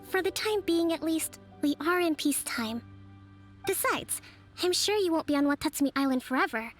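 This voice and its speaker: Kokomi, a calm young strategist. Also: female voice, high voice. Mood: gentle. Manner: measured, formal and articulate. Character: young woman leader and strategist, calm and composed. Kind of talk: game voice acting. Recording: studio voice with soft game music.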